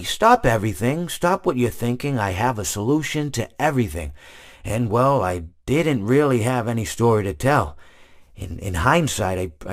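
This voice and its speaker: An actor playing a gentle, absent-minded old male hippopotamus animatronic who rambles and stammers through wistful stories, in video game voice lines. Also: mid-range voice, close to an everyday voice.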